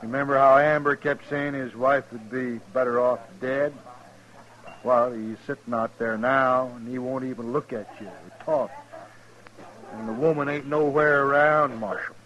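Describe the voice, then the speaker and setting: A man's voice: medium pitch, steady tone, moderate pace, a bit bright, lightly acted. An American actor playing a blunt, accusing frontier townsman with rustic speech in an old radio western. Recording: dull, lo-fi old radio recording.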